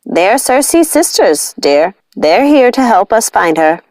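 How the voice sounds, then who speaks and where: A woman's voice: medium pitch, very animated, takes it slowly, darkish tone, no room sound. A woman voicing a protective, firm but caring nanny in an earnest, motherly storybook manner. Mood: soothing.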